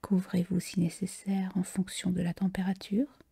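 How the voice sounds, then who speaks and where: A woman leads a guided relaxation in a very breathy, whispery voice. Her tone is dark and deeply mellow, with touches of creak. She speaks slowly and soothingly, leaving long pauses. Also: completely flat delivery. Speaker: yoga nidra relaxation guide. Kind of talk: guided meditation.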